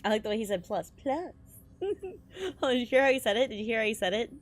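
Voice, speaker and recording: A woman's voice: a little high, expressive, quick pace. A woman game streamer giving casual, slangy reaction commentary with exclamations and swearing. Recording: music behind the voice. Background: game music.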